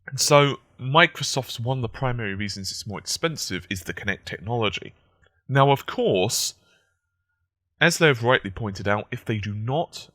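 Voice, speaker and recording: A man with a croaky, just-woken-up voice, giving casual, analytical gaming news commentary. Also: fairly low pitch, bright, forceful tone, no room sound. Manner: conversational, analytical.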